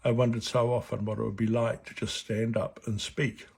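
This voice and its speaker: A man in his seventies from New Zealand with a New Zealand accent who stutters at times, gently reading a personal reflection. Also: fairly low pitch, level tone, normal speed, gravelly, slightly rough. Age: 70s. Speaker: board chair of a stuttering charity. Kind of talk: personal reflection read aloud.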